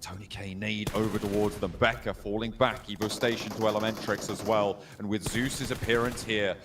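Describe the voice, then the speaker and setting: A man calling live esports action with rapid, punchy play-by-play. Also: fairly low pitch, level tone, background noise.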